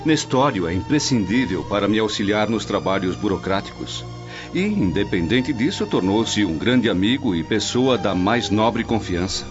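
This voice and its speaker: A man's voice: fairly low pitch, animated, normal speed, close to an everyday voice. An actor playing a dignified, fond Roman father and statesman in a formal, stately manner. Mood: fond, assured. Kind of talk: radio drama acting. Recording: dull lo-fi audio under music.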